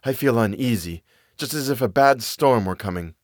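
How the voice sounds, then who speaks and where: A man's voice: medium pitch, expressive, normal speed, no room sound, studio quality, close to an everyday voice. A man voicing an anxious, guilt-ridden wicked mother in a fairy tale, fretful and dramatic. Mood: uneasy dread.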